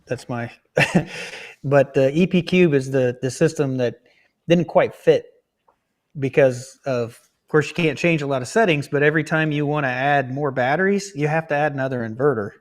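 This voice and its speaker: A man's voice: medium pitch, moderate pace. Plain-spoken man sharing his experiences. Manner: frank, explains with many restarts. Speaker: guest on a livestream. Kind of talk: casual livestream chat.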